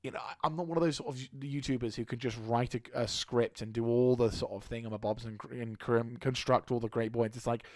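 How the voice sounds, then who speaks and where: A man with a slightly hoarse throat giving a casual channel update as a gaming YouTuber, rambling and halting with frequent restarts. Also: mid-range voice, steady tone, a little mellow, no room sound, studio quality.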